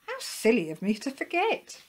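Woman as silly boy dog, high pitch, swooping intonation, cheerful, mischievous, children's storybook character. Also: big pitch swings, a little slow, a little mellow, husky. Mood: bright realisation. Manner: exclamatory, polite, chuckling to himself.

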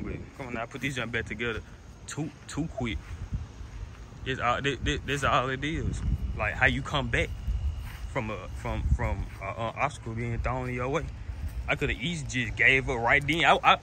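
Rambling 19-year-old man outdoors. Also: mid-range voice. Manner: rambling, repeats himself, lots of restarts. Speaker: car vlogger. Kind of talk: casual vlog to camera. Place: outdoors in a driveway beside a car. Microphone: phone held at arm's length. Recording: outdoor phone audio with background noise.

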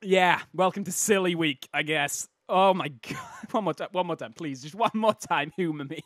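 A man with a slightly croaky voice hosting casual, jokey video game commentary in a self-interrupting way. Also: fairly high pitch, normal speed, a bit bright, no room sound, studio quality. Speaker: host of a game replay showcase.

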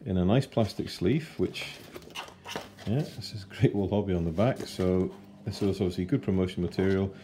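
Deep mellow guy in his 40s rambling through a model kit unboxing at home, bit of hiss. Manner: chatty and unhurried, with fillers and self-corrections. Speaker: scale model kit reviewer. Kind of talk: casual unboxing review. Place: home kitchen table.

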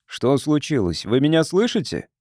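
An earnest male scientist from Earth, played by a man reading an audiobook. He is polite and reasons patiently in a mellow voice. The sound is dry. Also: fairly high pitch, sing-song, moderate pace, dry recording, pitched above the performer's own voice. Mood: anxious, confused. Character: earnest, conciliatory male visiting sociologist from Earth.

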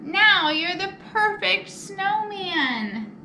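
A woman's voice: very high voice, big pitch swings, drawn-out words, sharp tone, pitched above the performer's own voice, heavily acted. A young woman voicing a kind, eager little girl in a children's storybook, speaking in simple phrases. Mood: eager, generous. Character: kind little girl offering winter clothes to a snowman. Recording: roomy echo, clear background noise.

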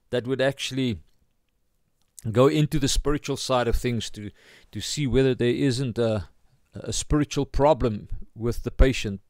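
A South African man teaches in the manner of an informal sermon. His voice is medium in pitch with a gravelly creak, recorded dry without room echo. He often gropes for the right word and corrects himself. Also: darkish tone. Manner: halting, searching for words, self-correcting. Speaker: pastor.

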